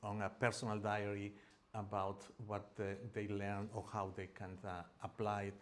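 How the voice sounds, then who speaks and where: Middle-aged man, Peruvian accent, slightly low voice, halting academic seminar delivery with frequent fillers. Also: monotone. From Peru. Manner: hesitant, many fillers and restarts. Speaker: academic presenter. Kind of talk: seminar presentation.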